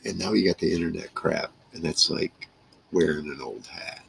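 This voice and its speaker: Older guy in his 60s, really low raspy voice, rambling casually about old times, kinda salty. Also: normal speed, fairly bright tone. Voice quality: gravelly, husky. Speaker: vlogger. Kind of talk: casual reminiscing monologue to camera. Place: small wood-paneled room indoors.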